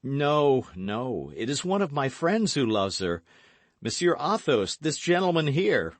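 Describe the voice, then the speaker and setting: A man voicing an eager, brash young gentleman in an audiobook, speaking with emphatic, commanding and affectionate delivery. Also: mid-range voice, sing-song, a little slow, no room sound, pitched above the performer's own voice. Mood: earnest, insistent. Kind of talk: audiobook character acting.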